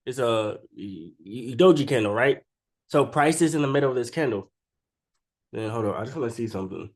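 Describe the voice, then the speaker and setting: A man's voice: medium pitch, lively intonation, a little fast. Man with a cold, casual chart talk. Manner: informal and slangy, keeps tagging statements with "right?". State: sick with a cold. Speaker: stock trading video creator. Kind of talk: casual unscripted chart commentary.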